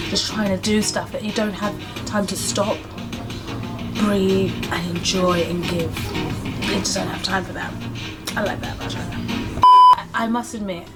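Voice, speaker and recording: Brief: young woman, animated storyteller, middle pitch, music under the voice. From Britain. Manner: chatty, animated, acts out quoted voices. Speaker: business owner and English teacher. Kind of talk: casual sit-down interview.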